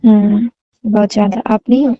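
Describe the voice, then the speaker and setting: A woman's voice: medium pitch, fairly even intonation, moderate pace. A young woman chatting casually and intimately on a phone call, coy, often answering with short hums. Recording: dull lo-fi phone call recording, roomy.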